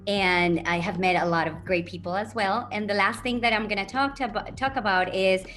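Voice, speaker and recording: A middle-aged woman talks at a moderate pitch, earnest and reflective. She hesitates often and fills her pauses with ums as she gives advice. Her voice comes through a video call, with faint music behind. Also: steady tone, fairly bright tone. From Colombia. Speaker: university diversity officer, guest. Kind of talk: guest telling her story and giving advice.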